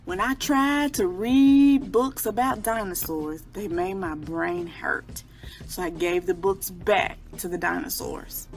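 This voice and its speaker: A woman reading a picture book aloud to children in an expressive storytelling style, drawing out key words. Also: mid-range voice, slow, faint music behind.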